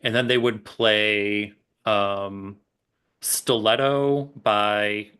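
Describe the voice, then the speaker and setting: A man's voice: fairly low pitch, fairly even intonation, very slow, pushed and bright, smooth. A man in his late thirties chatting casually as a podcast co-host. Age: late 30s. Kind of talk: casual chat.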